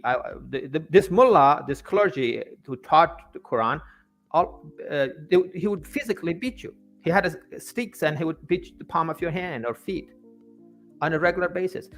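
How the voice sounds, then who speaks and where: A man's voice: a little high, a bit bright. A man from Afghanistan, a native Persian speaker, telling his story as an interview guest in an earnest way, often repeating words as he searches for the next. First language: Persian (Farsi). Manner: halting, stammering repetitions of words.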